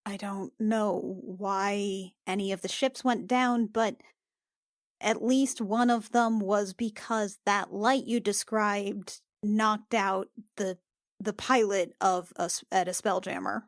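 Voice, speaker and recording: A woman's voice: mid-range voice, fairly even intonation, takes it slowly, dry recording, studio quality. A woman playing a tabletop game, speaking hesitantly and soothingly as her character. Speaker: player. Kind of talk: casual play in a tabletop game.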